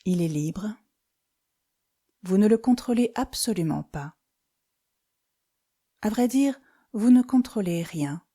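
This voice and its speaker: A woman leading a guided yoga nidra meditation as an instructor, speaking calmly and soothingly in short phrases with pauses. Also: mid-range voice, slightly rough.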